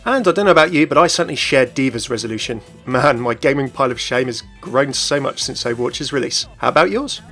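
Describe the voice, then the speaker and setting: A man's voice: mid-range voice, lively intonation, fast talker, few pauses, a bit bright. A man hosting a gaming channel, delivering scripted news commentary. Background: music.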